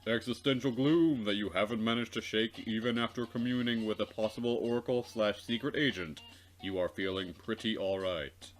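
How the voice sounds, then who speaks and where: A man's voice: on the low side, normal speed. A man co-hosting a let's play, bantering casually and reading game text aloud in a dry, wry manner.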